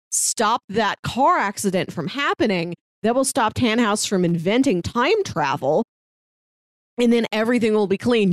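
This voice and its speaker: A woman's voice: medium pitch, no room sound. A woman with a mid-range voice co-hosts a podcast in a very dry, close-sounding recording. She talks casually and conversationally, stressing her points as she explains them. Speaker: podcast co-host. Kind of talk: casual TV discussion chat.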